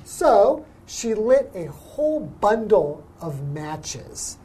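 A woman's voice: mid-range voice, very animated, very slow, dark tone, a little hiss or hum. A young woman hosting a radio English lesson, patiently explaining words with everyday examples. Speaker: English teacher, host.

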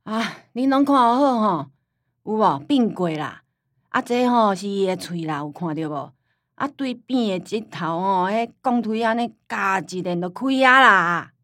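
A woman's voice: mid-range voice, lively intonation, unhurried, fairly bright tone, dry recording, clean studio sound. A woman playing a forgetful, plain-spoken old grandmother with a Taiwanese accent in a radio drama. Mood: chiding, instructive. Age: older.

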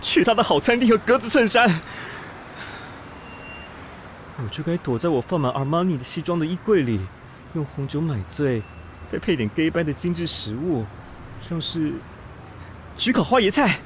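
Melodramatic, fussy city snob, wildly swooping. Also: male voice, a little high, big pitch swings, fairly quick, a little hiss or hum. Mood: outraged, hysterical. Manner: melodramatic, sarcastic, swinging from muttering to shrieking. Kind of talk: radio drama acting with inner monologue.

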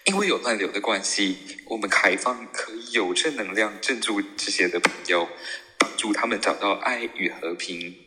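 Deep, bright-edged male airline captain, acted. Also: moderate pace. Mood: formal, official. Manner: formal, official. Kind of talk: scripted captain's cabin announcement. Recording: reverberant hall sound.

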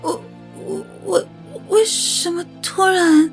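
A woman's voice: extremely high pitch, steady tone, soft background music, full character voice. An actress playing a flustered, sweet young woman with a Taiwanese accent in a romantic radio drama, hesitant and stammering. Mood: flustered, shy. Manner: hesitant, stammering, breathy pauses.

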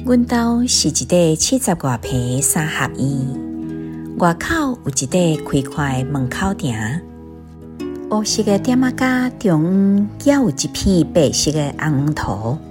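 A woman's voice: low-pitched, big pitch swings, takes it slowly. A woman with a Taiwanese accent reading a written essay aloud as scripted literary narration. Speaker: essay reader. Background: guitar music.

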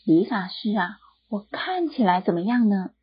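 A woman's voice: mid-range voice, very animated, moderate pace, breathy edge, dull audio. A woman voicing a proud, vain king in a storybook tale, speaking in a commanding way.

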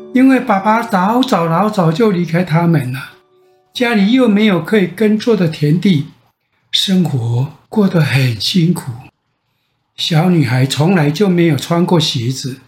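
An older man with a Taiwanese Mandarin accent tells a children's bedtime story in a gentle, expressive way with drawn-out phrase endings. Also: high-pitched. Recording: echoey room sound.

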